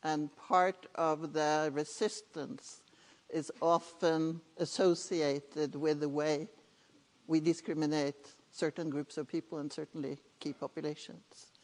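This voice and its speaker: A woman with a Norwegian accent moderating a conference panel, asking questions in a measured, hesitant way. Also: sits low, little pitch movement. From Norway. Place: large conference hall. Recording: reverberant conference hall.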